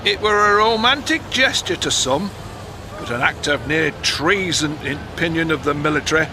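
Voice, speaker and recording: Radio drama: blunt, teasing Northern English newspaper editor male actor, high-pitched, with clear vehicle noise behind. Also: lively intonation, moderate pace, close to an everyday voice. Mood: sly, explaining. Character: blunt, canny newspaper editor, man, of the 1910s. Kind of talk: audio drama acting. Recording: noisy moving-car ambience behind.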